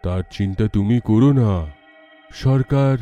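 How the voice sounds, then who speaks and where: Slow, low-voiced kindly schoolteacher played by a man. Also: mellow, muffled recording, faint music behind, close to an everyday voice. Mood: reassuring. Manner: measured, reassuring. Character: kindly, encouraging village schoolteacher.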